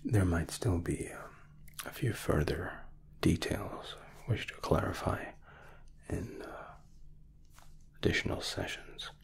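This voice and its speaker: Slow, deep, whispering ASMR man. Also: vocal fry. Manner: hushed, hesitant, polite and formal. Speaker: ASMR roleplay performer, playing a royal sculptor. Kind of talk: ASMR roleplay, soft deliberate whispering. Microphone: close microphone.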